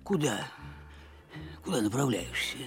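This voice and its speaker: A man's voice: medium pitch, big pitch swings, a little slow, fairly bright tone. A man playing a hungry, pleading wolf in an audio fairy-tale play. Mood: plaintive, weary. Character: hungry male wolf, slow-spoken, pleading wayfarer's beast. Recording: music behind the voice.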